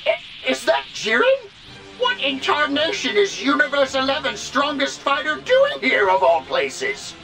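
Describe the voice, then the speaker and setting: An actor playing an excitable, fretful old male deity in an anime video game, commenting on battles with expressive exclamations. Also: extremely high pitch, unhurried, creaky, full character voice. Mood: alarmed. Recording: music behind.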